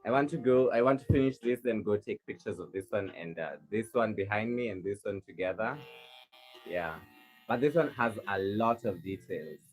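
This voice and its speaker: A man's voice: on the low side, moderate pace, soft background music. A man from Kenya, a rug maker chatting casually on a livestream and answering viewers' questions with a helpful, rambling manner. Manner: chatty, helpful, lots of restarts.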